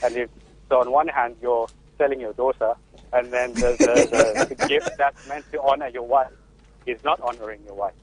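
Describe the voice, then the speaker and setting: A man's voice: mid-range voice, expressive, normal speed, heavy background noise. A man from Zimbabwe with a Zimbabwean accent, a father on a radio panel calling in from the UK, talking hesitantly with many restarts. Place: remote call from the UK. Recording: dry, phone-like line.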